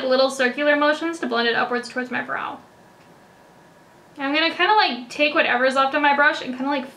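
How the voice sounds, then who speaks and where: A young woman with a high, bright voice talks through a makeup tutorial. She is a little raspy after hiccups and chatty, with casual fillers everywhere, recorded indoors close to the camera. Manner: chatty, many "like" and "kinda" fillers. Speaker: beauty vlogger. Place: indoors before a plain backdrop.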